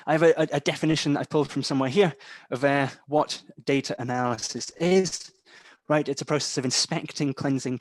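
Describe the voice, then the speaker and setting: A young man with a mild Scottish accent, softened by English parents, giving a chatty instructor's talk full of ums and a habit of asking "right?". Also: medium pitch, dry recording. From Scotland. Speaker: data analytics instructor. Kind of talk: webinar-style lecture.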